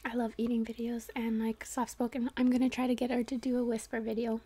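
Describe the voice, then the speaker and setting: A young woman hosting a soft-spoken eating video, chatting quietly and often in a whisper, recorded on a couch at home. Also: a little high, level tone, quick pace. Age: young adult. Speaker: channel host. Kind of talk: soft-spoken mukbang chat. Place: living room couch, indoors.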